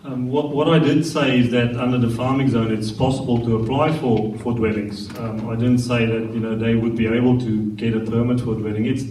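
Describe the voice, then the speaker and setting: Council director, mid-pitched, careful and explanatory, echoey room. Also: male voice, fairly even intonation, mellow. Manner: measured, explanatory, frequent restarts. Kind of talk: formal explanation at a meeting. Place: council chamber. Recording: echoey chamber, distant mic, faint hiss.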